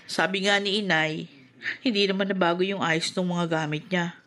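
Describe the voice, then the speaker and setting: A woman's voice: fairly low pitch, fairly even intonation, normal speed, a bit bright, lightly acted. A woman playing a caring, protective young adult daughter in a Filipino radio drama. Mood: concerned.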